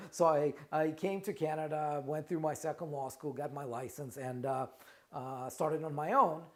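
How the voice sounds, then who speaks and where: A middle-aged man with a Russian accent talks through a live question-and-answer stream, his voice sitting a little high for a man. He speaks conversationally, often restarting and repeating words and checking in with "right?". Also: fairly even intonation, moderate pace, darkish tone. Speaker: trademark lawyer and company founder, show host. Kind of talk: live Q&A stream, casual talk.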